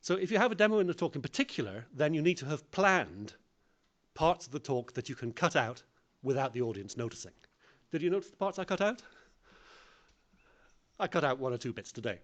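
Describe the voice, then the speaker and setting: Animated man giving a conference talk. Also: a little high, brisk, pauses often. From English-speaking country. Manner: animated, self-deprecating, plainly phrased. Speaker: conference speaker. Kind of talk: lecture on public speaking.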